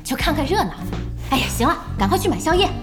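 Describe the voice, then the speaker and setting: An actress in a Chinese audio drama playing a brisk, bossy young woman who runs an internet cafe, speaking directly. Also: sits high, big pitch swings. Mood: bossy, casual. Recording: music and crowd sounds behind.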